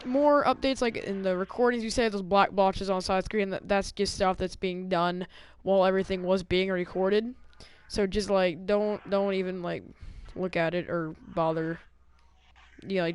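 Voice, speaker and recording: A man's voice: high-pitched, smooth. A young man casually telling stories as a gaming video host, slangy and acting out the dialogue. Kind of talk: casual storytelling over gameplay.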